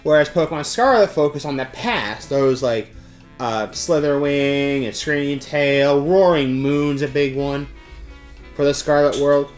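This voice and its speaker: A man presenting an informal showcase to camera, emphatic, with halting pauses between phrases. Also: on the high side, faint music behind. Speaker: presenter of a game store's monthly news segment.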